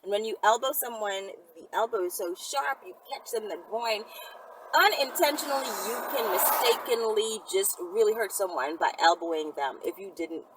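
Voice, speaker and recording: A woman's voice: medium pitch, normal speed. A woman hosting a casual question-and-answer video, speaking in a frank, conversational and emphatic way. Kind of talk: casual chat. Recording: distant mic with clear background noise.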